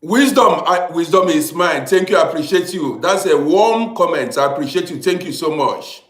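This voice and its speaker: Man from Africa, livestream host, fairly high voice, chatty, echoey room. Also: expressive, normal speed, a bit bright. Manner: chatty, repeats himself, greets commenters by name. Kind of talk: casual livestream chat greeting viewers. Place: lives in Europe.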